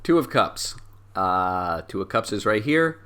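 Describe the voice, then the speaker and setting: A man narrating an improvised tabletop game as game master, halting and backtracking mid-sentence. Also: lowish voice, big pitch swings, a bit bright.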